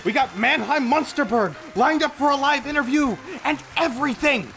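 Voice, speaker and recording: A man playing an excitable, pushy radio station boss in an old-time adventure serial, eager and emphatic. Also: extremely high pitch, moderate pace, creaky, faint music behind, full character voice. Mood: excited, demanding.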